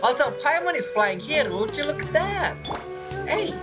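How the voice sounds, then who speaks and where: A woman's voice: high-pitched, sing-song, normal speed, loud music behind the voice. A woman giving chatty, casual commentary as she plays through a game, reacting aloud. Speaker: gamer commenting on gameplay.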